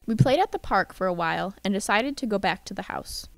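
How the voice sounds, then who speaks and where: A woman's voice: mid-range voice, lively intonation, a little fast, gravelly, noisy background. A woman playing a somber narrator who recalls her childhood in a scripted radio drama. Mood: reflective. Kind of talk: scripted first-person narration in radio play. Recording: loud music bed under voice.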